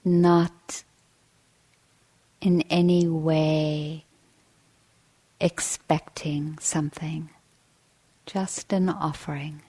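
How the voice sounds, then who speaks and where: A woman meditation teacher giving a guided dharma talk, gently and in measured short phrases with long pauses. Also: low-pitched, little pitch movement, breathy edge.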